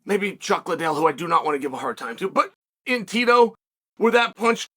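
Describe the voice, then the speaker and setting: Brash, bright-voiced man talking fights. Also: sits high, very animated, fairly quick. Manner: brash, emphatic, repeats points for effect. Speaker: podcast host and MMA commentator. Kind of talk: casual fight commentary.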